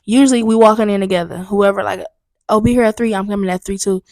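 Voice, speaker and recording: A woman's voice: medium pitch, fast talker, darkish tone, dry recording. A young adult woman hosting a solo podcast, talking candidly and chattily, a bit stuffy, repeating herself for emphasis. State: slightly stuffy. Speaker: podcast host. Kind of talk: solo casual podcast talk. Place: booked studio room.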